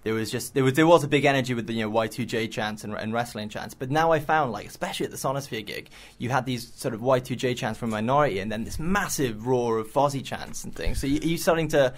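Fast, eager young radio host. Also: male voice, medium pitch. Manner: eager, stumbles over words. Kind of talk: casual radio interview. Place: radio studio.

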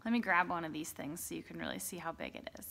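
A woman's voice: lowish voice, animated, quick pace, vocal fry, heavy background noise. A woman in her thirties chatting casually in a barn pigeon coop, talking into a phone held at arm's length. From Wisconsin, United States. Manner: chatty, lots of ums and likes. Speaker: hobby farmer vlogger. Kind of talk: casual farm vlog.

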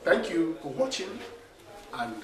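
A man's voice: a little high, unhurried, far from the mic, crowd noise. A man in his forties with a cold, talking nasally and animatedly straight to the camera on a small theatre stage. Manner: animated storyteller, gestures with his hands. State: has a cold. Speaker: playwright and director. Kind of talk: direct-to-camera talk about his play. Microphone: camera mic from a few metres. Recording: echoey room, voice from off-mic.

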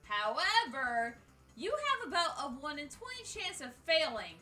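A woman's voice: sits high, wildly swooping pitch, measured pace, bright, forceful tone, recorded from a distance. A woman hosting a gaming video, giving casual scripted countdown commentary in an exasperated, sweary, jokey way. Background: music.

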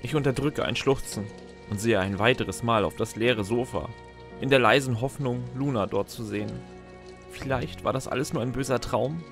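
A man's voice: medium pitch, normal speed, close to an everyday voice. A man playing a sardonic, lonely narrator in a scripted first-person audio drama, with a dry manner. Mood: sad, wistful. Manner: dry, sarcastic. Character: frustrated, sardonic male narrator, lonely and sentimental underneath. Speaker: narrator and lead character. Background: music.

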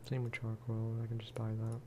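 A man giving soft-spoken ASMR game commentary in a hushed, unhurried voice that at times slips toward a whisper. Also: monotone, background noise. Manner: unhurried, low-key, musing aloud. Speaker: gaming video creator.

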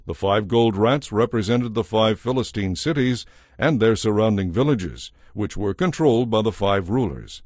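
Lowish-voiced male scripture narrator. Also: darkish tone, no room sound, clean studio sound. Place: studio, dry.

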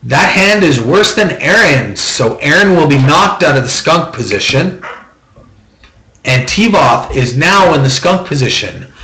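A man's voice: on the high side, very animated, a little slow, bright, forceful tone. Methodical man announcing in a roomy echo. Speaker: host of a trading-card box-break auction. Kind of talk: running card-deal commentary.

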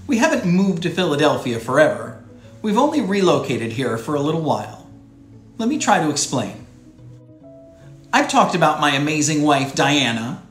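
An American man in his thirties hosting a children's read-aloud show, speaking to young viewers in clear, simple phrases as he presents and reads from a script. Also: on the high side, fairly bright tone, reverberant. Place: small furnished room indoors.

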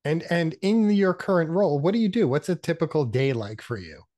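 A man's voice: fairly high pitch, lively intonation, fairly quick, mellow. A man hosting an interview, asking questions in a friendly, conversational way. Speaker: interview host.

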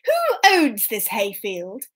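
A woman voicing a polite, easily impressed king in a children's story, exclaiming grandly with drawn-out words. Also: a little high, wildly swooping pitch, husky, heavily acted.

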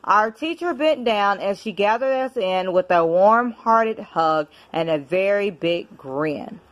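A woman reading a children's picture book aloud at home in an expressive, deliberate storybook manner. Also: medium pitch. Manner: measured, deliberate storybook delivery. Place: indoors at home.

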